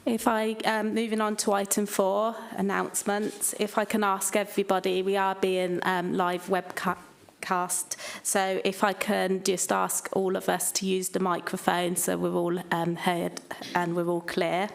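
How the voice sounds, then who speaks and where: A woman's voice: mid-range voice, completely flat delivery, unhurried, gravelly. A woman chairing a council committee meeting, speaking with frequent hesitations and fillers. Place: council chamber.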